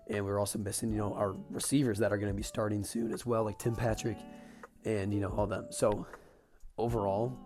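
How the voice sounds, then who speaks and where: Young man, raspy from illness, lowish voice, eager sports recap. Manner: excited fan talk with fillers. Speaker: sports podcast host. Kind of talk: casual game recap.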